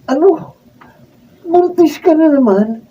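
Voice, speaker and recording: An actor playing a lazy, callous young husband in a Filipino radio drama, dismissive and taunting. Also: male voice, very high-pitched, animated, slow, mellow, slight background noise, exaggerated voice. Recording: muffled, distant mic.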